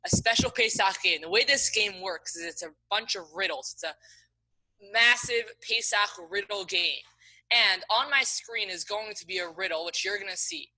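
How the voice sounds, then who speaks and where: Bright-voiced woman hosting a riddle game. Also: medium pitch, normal speed, rough recording. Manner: upbeat, friendly instructions. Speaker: game host for an online Hebrew school. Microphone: video call.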